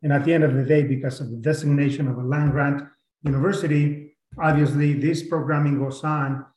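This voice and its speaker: Earnest county commissioner in a roomy hall. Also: male voice, fairly high pitch, fairly even intonation, darkish tone. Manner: earnest, halting with many restarts. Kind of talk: public meeting discussion. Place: county meeting room. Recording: roomy meeting room sound.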